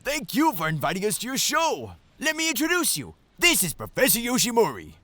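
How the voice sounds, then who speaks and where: A man's voice: high-pitched, wildly swooping pitch, brisk, pushed and bright, no room sound, full character voice. A man voicing a jolly, hearty old grandfather in a cartoonish audio drama style. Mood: hearty, delighted.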